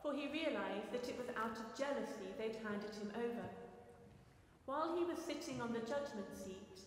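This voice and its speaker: A woman's voice: on the high side, lively intonation, moderate pace. Woman narrating scripture in very echoey hall. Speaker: Gospel narrator. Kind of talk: solemn dramatic scripture narration. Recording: very echoey hall, faint noise.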